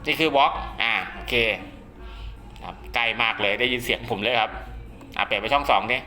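A man's voice: mid-range voice, brisk, pushed and bright, faint music behind. A man giving a casual spoken how-to demonstration, often checking that listeners follow along. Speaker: radio hobbyist presenter.

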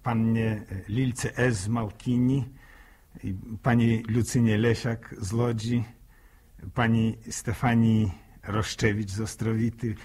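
A man's voice: lowish voice, level tone, slow pace, darkish tone, slightly rough, full character voice. An actor playing a shy, grateful young village man in a 1960s radio drama, speaking hesitantly and repeating words. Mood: bashful, grateful. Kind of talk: 1960s radio acting, informal thank-you speech.

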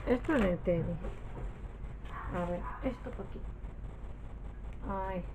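Woman, Spain Spanish accent, unhurried rambling haul-vlog chat, faint background noise. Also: mid-range voice. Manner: rambling, often trailing off and self-correcting. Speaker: craft haul vlogger. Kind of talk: casual chat, showing purchases to camera.

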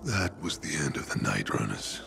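Gravelly, bitter, broken drunk. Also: male voice, rumbling low, big pitch swings, full character voice. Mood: bitter, regretful. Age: middle-aged. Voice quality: gravelly, husky. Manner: slow, halting, muttering, breathy. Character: bitter, broken drunken former leader of a failed crew. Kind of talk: video game dramatic acting.

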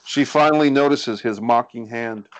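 A man's voice: mid-range voice, normal speed, rough recording. A man reading scripted stage directions as narrator of a screenplay table read. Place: indoors on a group video call.

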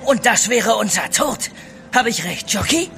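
An actor in a German radio drama playing a desperate, paranoid, coarse and threatening armed sailor. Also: male voice, sits high, lively intonation, measured pace, gravelly, sharp tone, slight background noise, heavily acted. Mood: hostile, defiant.

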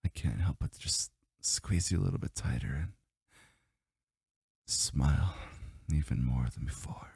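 Man playing a very deep, husky doting boyfriend. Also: expressive, a little mellow, no echo, clean studio sound, heavily acted. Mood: tender. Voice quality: breathy, husky, gravelly. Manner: slow, murmured, often dropping to a whisper. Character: doting, teasing demon boyfriend, adult man, low and intimate. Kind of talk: ASMR boyfriend roleplay. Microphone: close microphone, dry.

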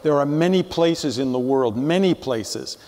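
A man in his seventies moderating a public conversation from the stage in a measured, explanatory way. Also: fairly high pitch, lively intonation, darkish tone. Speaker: moderator, professor emeritus. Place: theatre stage, seated. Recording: reverberant theatre stage.

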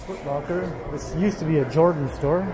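A man giving an unhurried, casual running commentary while walking through an indoor mall, filmed on a handheld camera. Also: a little high, very noisy. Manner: relaxed, unhurried commentary with pauses. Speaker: walking tour vlogger. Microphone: handheld gimbal camera.

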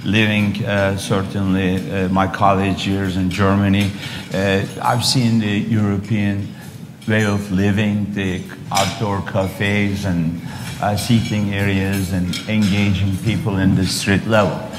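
A man's voice: sits low, flat delivery, slow, background noise. A man in his sixties with a Turkish accent, telling his story as an onstage guest with many fillers and restarts. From Istanbul, Turkey. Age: 60s. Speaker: guest speaker, real estate developer. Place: large hotel ballroom luncheon on stage. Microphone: lapel mic. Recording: echoey ballroom with long reverb, loud dish clatter. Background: clinking cutlery and dishes.

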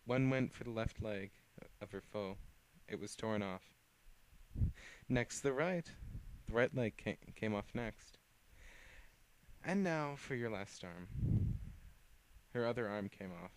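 Young man reading with halting restarts. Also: on the low side, rough recording. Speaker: fan fiction reader. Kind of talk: reading his own fan story aloud.

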